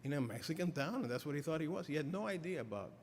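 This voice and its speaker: A middle-aged man giving an academic lecture as a professor, halting with many fillers and restarts. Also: fairly high pitch, lively intonation, darkish tone, slight background noise. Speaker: professor giving a keynote address. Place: lecture hall. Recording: reverberant hall, faint ambience.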